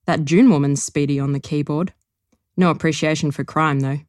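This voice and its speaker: A woman reading aloud as a gruff, smug older man who grumbles in a dry, stony way. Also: low voice, steady tone, moderate pace, a little mellow, no echo, lightly acted. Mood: grumbling. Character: gruff old bookish man, blunt and smug.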